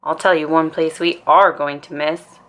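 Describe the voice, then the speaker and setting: A woman's voice: sits low, steady tone, moderate pace, fairly bright tone. A woman voicing an eager, confident young boy bear cub from a storybook, keen and emphatic. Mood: determined.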